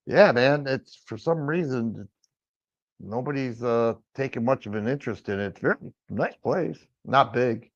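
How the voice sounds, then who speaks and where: Middle-aged male stream host, raspy, stammering restarts, average pitch, muffled low-fi sound. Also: expressive, normal speed. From Michigan. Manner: rambling, with frequent stammered restarts. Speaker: firearms livestream host. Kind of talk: casual livestream chat answering viewers. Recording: dull lo-fi stream audio.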